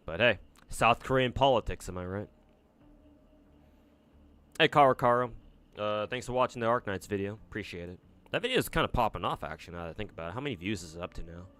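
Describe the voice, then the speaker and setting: A young man with an American accent talks through a gaming livestream in a loose, rambling way. He restarts his sentences and swears casually. His voice sits slightly low and comes across clean and smooth. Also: lively intonation, fairly quick, pauses often, a bit bright. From United States. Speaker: streamer.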